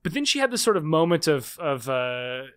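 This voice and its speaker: A man in his thirties, a podcast guest and author, explaining at length in quick conversational speech with frequent restarts and repeated words. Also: on the high side, big pitch swings, a bit bright, clean tone. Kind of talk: conversational interview.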